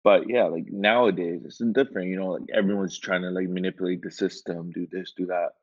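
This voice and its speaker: A man from Iran, a guest in a casual interview, telling his story in a relaxed, rambling way with lots of filler words. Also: low voice, a little fast. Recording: dull lo-fi sound.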